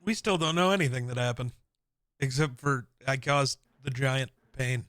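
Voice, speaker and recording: Dry-humored dude at a dnd table, self-mocking, close dry mic. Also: male voice, medium pitch, normal speed, fairly bright tone, dry recording. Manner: wry, self-mocking jokes. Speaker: player. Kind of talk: casual banter at a game table.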